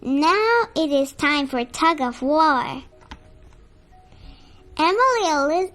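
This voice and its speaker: Storybook reader for kids, high voice, unhurried expressive delivery, music underneath. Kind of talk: read-aloud storytelling for children.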